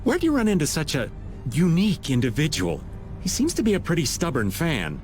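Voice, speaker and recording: A man's voice: a little high, wildly swooping pitch, a little fast, a little mellow, faint music behind. An actor playing an easygoing, wisecracking middle-aged man with a drawling delivery.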